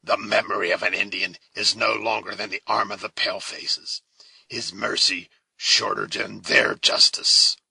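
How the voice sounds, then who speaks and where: A fierce warrior villain taunts his captives slowly and with menace, as a man reading the story puts him on. The voice stays near the reader's own, bright and slightly husky. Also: deep voice, lively intonation, no room sound, full character voice, low-quality recording. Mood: menacing.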